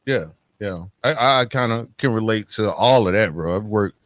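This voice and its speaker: A man with a fairly low voice hosts an interview in a casual, conversational way. The sound is dry, with very little room around him. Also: normal speed, a bit bright, no room sound. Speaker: podcast host.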